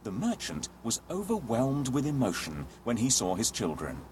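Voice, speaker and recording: A man narrating a scripted children's fairy tale as an expressive, dramatic storyteller. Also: mid-range voice, moderate pace, a little hiss or hum.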